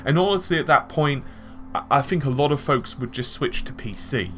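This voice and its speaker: A man's voice: medium pitch, expressive, moderate pace, a bit bright. A man giving conversational tech and gaming commentary, explaining his points with examples and asides. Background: background music.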